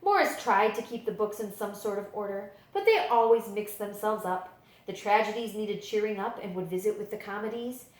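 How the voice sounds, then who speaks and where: A woman reading a picture book aloud to children as a librarian, in expressive, deliberate storytelling narration with drawn-out emphasis. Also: medium pitch, reverberant, poor audio.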